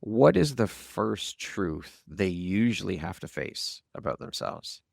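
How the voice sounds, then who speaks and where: A man hosts a conversational podcast with a fairly low voice and a lot of gravelly fry. He is candid and informal. The sound is very dry, with no room around it. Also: big pitch swings, slow pace, a little mellow, no echo. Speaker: podcast host.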